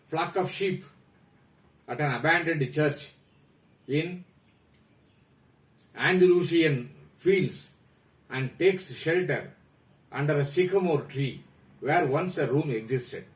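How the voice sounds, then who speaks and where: A man with an Indian accent, presenting a prepared talk about a novel in a formal, measured way that trails off at the ends of phrases. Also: a little high, echoey room, recorded from a distance, rough recording. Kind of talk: reading a prepared literary talk.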